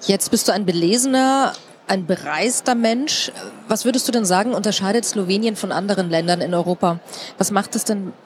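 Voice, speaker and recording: A woman's voice: mid-range voice, fairly quick, a little hiss or hum. A woman hosting a panel talk, chatty and personal, with friendly asides to her guests. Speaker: host.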